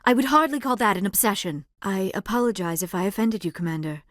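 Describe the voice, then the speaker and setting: A woman's voice: medium pitch, fast talker, fairly bright tone, no echo, clean studio sound, natural voice. A woman voicing a shy, earnest alien scientist in a video game, speaking in careful, formal sentences with precise diction. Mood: defensive. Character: earnest, shy alien female scientist, socially awkward, scholarly.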